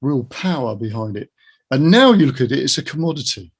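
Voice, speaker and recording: A middle-aged man with a husky, gravelly voice talking earnestly and reflectively in a casual interview, offering his opinions. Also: medium pitch, big pitch swings, brisk.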